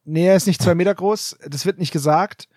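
A man's voice: high voice, a little fast, a little mellow, no echo, clean studio sound. A man co-hosting a podcast, chatting casually and animatedly about an audio drama. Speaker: podcast host.

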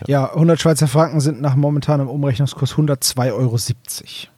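A man with a dark, mellow voice of medium pitch chats casually as a podcast co-host. The sound is very dry, with no room around it. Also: steady tone, a little fast, no room sound. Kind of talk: casual chat.

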